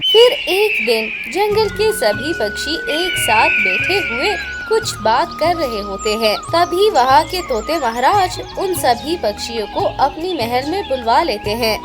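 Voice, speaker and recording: A woman narrates a children's story in a high voice. She takes it slowly, as a scripted storyteller, with music clearly playing behind her. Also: big pitch swings.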